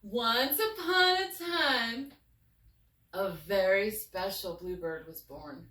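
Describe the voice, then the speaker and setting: A woman speaks slowly and earnestly, with expressive, thoughtful pauses. She sounds like a storyteller opening up. The recording is echoey and a little distant from the mic, with some noise behind her. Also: mid-range voice. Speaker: fairy tale writer and storyteller. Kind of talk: heartfelt personal talk, then storybook reading.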